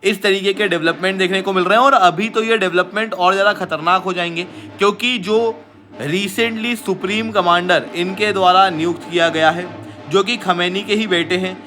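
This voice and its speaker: An Indian man lectures in the style of an online exam-prep teacher. His voice sits quite high and has a bright, forceful edge. He explains emphatically and slips English phrases into his explanations. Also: fairly even intonation, moderate pace. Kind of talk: explanatory current-affairs lecture to camera.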